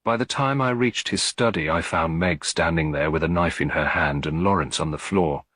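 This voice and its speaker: A man's voice: sits low, moderate pace, fairly bright tone, dry recording. A man voicing a tired, strained middle-aged widow in an audiobook, cool, guarded and composed. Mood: strained, grieving. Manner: clear but weary, composed. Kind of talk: audiobook character dialogue.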